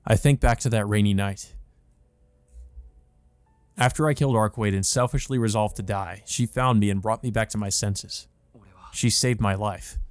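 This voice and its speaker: A man's voice: fairly low pitch, moderate pace, pauses often, no echo, lightly acted. A man voicing an earnest young male student who narrates his thoughts in a visual novel. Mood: reflective. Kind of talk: visual novel monologue read aloud.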